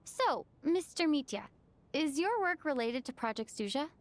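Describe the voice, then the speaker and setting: A woman playing a calm, considerate young traveler in a video game, polite and gently inquisitive. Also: sits high, moderate pace. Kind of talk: video game character dialogue.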